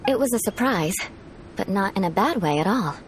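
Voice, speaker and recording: A woman's voice: mid-range voice, expressive, normal speed, slight background noise, close to an everyday voice, low-quality recording. A woman voicing a proud, poised noblewoman knight in a video game, with a composed, refined manner. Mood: hesitant, confiding. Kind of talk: English game voice acting.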